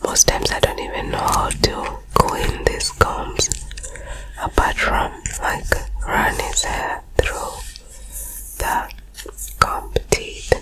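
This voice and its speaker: A woman with a cold and a blocked nose, whispering softly as she hosts an ASMR personal attention video. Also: slow pace, rough recording. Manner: soft unvoiced whisper throughout. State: congested from a cold. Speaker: ASMR host.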